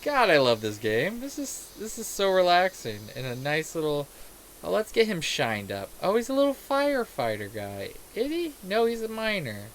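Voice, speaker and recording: A man's voice: high voice, wildly swooping pitch, moderate pace, fairly bright tone. A man chatting casually as he streams a game, rambling and joking with plenty of swearing. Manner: crude, jokey, rambling, sweary; acts out quoted remarks. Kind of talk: casual gaming commentary and chat. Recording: clear background noise.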